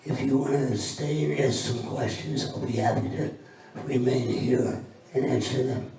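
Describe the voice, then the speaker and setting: An older man, a retired teacher, gives an informal thank-you speech, halting with fillers and restarts. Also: medium pitch, steady tone, mellow. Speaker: retired art teacher presenting student work. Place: large hall at a memorial ceremony. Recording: very echoey hall, mic far off, faint ambience.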